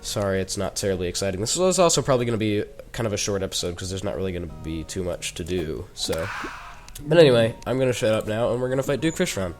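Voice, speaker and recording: Teen male gaming commentator, head cold, lowish voice, loose and jokey. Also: fast talker, faint music behind. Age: teens. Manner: chatty and rambling, with joking asides. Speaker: gaming YouTuber. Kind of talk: casual gameplay commentary.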